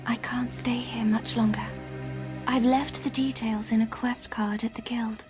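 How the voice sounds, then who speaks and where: Woman playing a hushed, even-toned young seer. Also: on the high side, measured pace, over music, close to an everyday voice. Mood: urgent, foreboding. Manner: hushed, intense, foreboding. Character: hushed, grave young seer sister, urgent. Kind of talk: video game cutscene acting.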